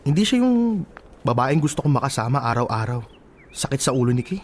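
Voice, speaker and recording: A man's voice: medium pitch, very animated, brisk, slight background noise, close to an everyday voice. A man acting a stubborn young man who denies being in love, in casual, defensive radio drama dialogue. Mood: defensive, amused.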